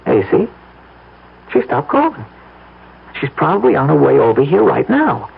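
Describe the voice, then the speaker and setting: An actor playing a scheming, coaxing young newlywed husband in an old-time radio mystery, on a noisy vintage broadcast. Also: male voice, fairly high pitch, huge pitch swings, moderate pace, vocal fry, breathy edge, narrow, tinny audio, exaggerated voice.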